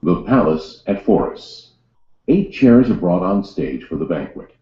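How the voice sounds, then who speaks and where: A man's voice: low voice, very animated, measured pace, warm tone. A man reading scripted stage directions as the narrator of a play. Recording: roomy echo, slightly dull sound.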